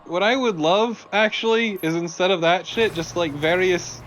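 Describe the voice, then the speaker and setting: A man's voice: sits high, steady tone, normal speed, fairly bright tone. A man bantering casually and wryly as co-commentator on a video game. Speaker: let's play co-commentator. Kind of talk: casual banter over gameplay.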